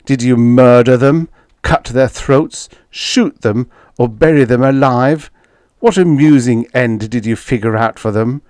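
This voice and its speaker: A man's voice: mid-range voice, sing-song, normal speed, darkish tone, dry recording, voice pushed up, lightly acted. A man playing a tough, sarcastic diplomat in an audiobook, pressing and snapping with blunt accusations. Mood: angry accusation. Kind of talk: audiobook character dialogue.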